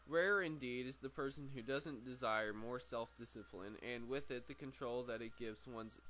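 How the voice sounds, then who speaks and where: Male amateur narrator, mid-pitched, book reading with frequent stumbles and repeats, noticeable background noise. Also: fairly bright tone, low-quality recording. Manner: stumbles over words and repeats phrases. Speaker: amateur audiobook reader.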